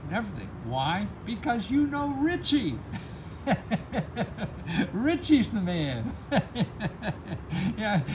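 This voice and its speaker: A man's voice: fairly high pitch, noisy background. A man hosting an advice channel in a casual, rambling, motivational style, talking emphatically and readily amused by his own points. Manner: emphatic, chuckles often at own remarks. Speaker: host of a grant-help advice channel.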